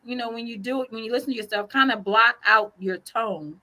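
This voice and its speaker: A woman's voice: on the high side, brisk, bright, forceful tone. A woman co-hosting a casual chat show, giving encouraging advice with plenty of "you know" fillers. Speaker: co-host.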